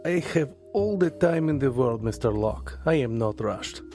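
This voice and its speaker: An actor playing a taunting Russian mobster prisoner, speaking terse, broken English with a heavy Russian accent. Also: male voice, mid-range voice, lively intonation, moderate pace, darkish tone, faint music behind, natural voice. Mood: smug. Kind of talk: audio drama acting.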